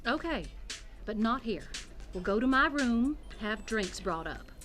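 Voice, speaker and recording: Female pundit, audio drama: haughty, pious, pompous, on the higher side. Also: expressive, measured pace, fairly bright tone, faint music behind. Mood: sly. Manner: pompous, precise. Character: self-righteous, haughty female religious pundit with sly streak.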